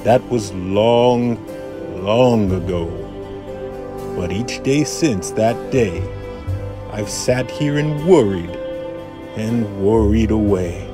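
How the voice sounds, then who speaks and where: Guy doing an old hermit tycoon from a kids book, slow and dramatic, music behind him. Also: lowish voice. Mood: regretful. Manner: dramatic, emphatic, lingering on repeated words. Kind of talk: rhyming children's storybook read-aloud.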